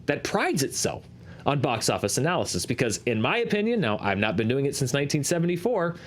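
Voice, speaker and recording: Guy hosting a chart show, medium pitch, explains numbers in a measured chatty way. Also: big pitch swings, a little hiss or hum. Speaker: host of a box office chart show. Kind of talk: conversational analysis of box office figures.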